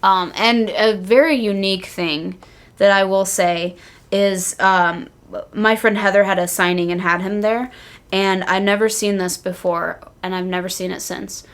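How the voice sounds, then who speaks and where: A young woman co-hosting a wrestling podcast, chatting casually and telling stories in slangy, animated talk. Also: medium pitch, unhurried. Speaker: podcast co-host. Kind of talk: casual chat about pro wrestling.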